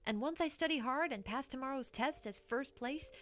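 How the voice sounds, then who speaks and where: Woman acting cocky, high-voiced student pony. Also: moderate pace, a bit bright. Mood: cocky, eager. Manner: eager, self-assured, petulant. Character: ambitious young student pony, cocky, pushy and jealous. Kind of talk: audio drama voice acting.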